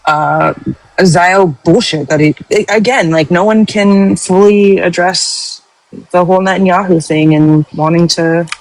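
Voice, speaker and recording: A man on a debate panel, talking in a slow, halting way as he searches for words. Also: high-pitched, no room sound, low-quality recording. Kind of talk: casual debate chat.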